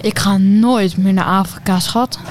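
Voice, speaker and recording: A woman's voice: mid-range voice, steady tone, unhurried, natural voice. A woman playing a worn-out, homesick traveller in an amateur radio play. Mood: dry, fed up.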